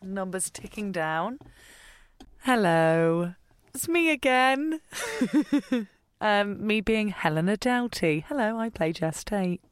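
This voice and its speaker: A woman's voice: medium pitch, lively intonation, takes it slowly, pauses often, smooth, no room sound. A woman rambling casually in a self-deprecating, dryly joking way, a voice actor recording in a small cupboard full of coats. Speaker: voice actor in an audio fiction podcast. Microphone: pop shield, tripod-mounted recorder.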